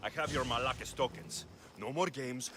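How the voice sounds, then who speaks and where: Man playing a forceful, sardonic mercenary hero. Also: medium pitch, normal speed, a little hiss or hum, close to an everyday voice. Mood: wry, confident. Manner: dry, sardonic. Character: wry, confident, battle-hardened mercenary hero. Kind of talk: video game character acting.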